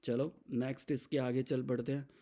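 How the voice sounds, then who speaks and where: A man with an Indian accent explains software step by step as an instructor, mixing English business terms into his speech. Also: mid-range voice, little pitch movement, moderate pace. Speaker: software demonstrator.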